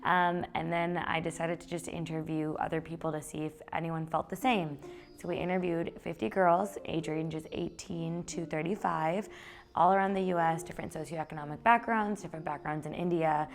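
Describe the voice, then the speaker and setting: A woman's voice: low-pitched, normal speed, faint music behind. A young woman, founder of a clothing company, talking conversationally in an interview. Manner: relaxed, conversational. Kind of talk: interview, personal story.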